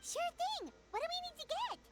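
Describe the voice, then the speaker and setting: A small childlike fairy companion from a video game. Her voice is extremely high and squeaky, with bouncy, animated delivery. Loud music plays behind her excitable chatter. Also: brisk. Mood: excited, surprised. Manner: chirpy, refers to herself in third person. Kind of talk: video game character acting.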